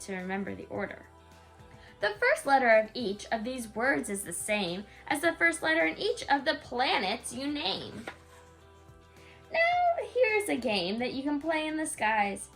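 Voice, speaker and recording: A woman with a fairly high voice reads a rhyming picture book at bedtime. Her delivery is sing-song and aimed at a small child, slipping into casual, expressive asides. Soft music plays behind her. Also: measured pace. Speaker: parent reading a picture book aloud to a young child. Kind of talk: bedtime story read-aloud with casual asides.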